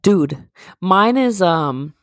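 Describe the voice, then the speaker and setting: Animated young woman, low voice, laughing chat. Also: extremely slow, no room sound. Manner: animated, laughing, swears casually. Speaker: podcast co-host. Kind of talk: casual podcast chat.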